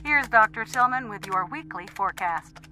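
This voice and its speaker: A woman's voice: medium pitch, lively intonation, moderate pace, background noise, lightly acted. A woman playing a polished, sombre television news anchor, reading scripted news in a radio drama. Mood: composed, sombre.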